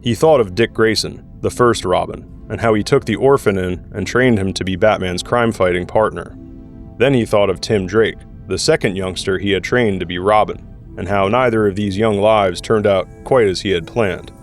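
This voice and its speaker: A man's voice: on the low side, normal speed. A man reading scripted narration for an audio drama. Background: background music.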